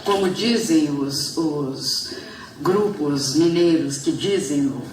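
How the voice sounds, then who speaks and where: A woman professor leads a festival panel discussion with animated, emphatic speech. She is heard from a distance in a reverberant auditorium, with a faint hiss underneath. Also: low voice, slow pace. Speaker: professor moderating a literature panel. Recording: echoey hall, distant mic, faint hiss.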